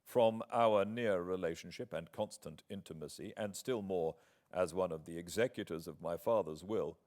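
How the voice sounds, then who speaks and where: Man voicing a proud wronged gentleman, British accent, low voice, formal measured delivery, grave and earnest, classic audiobook reading. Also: lightly acted. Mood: earnest, solemn.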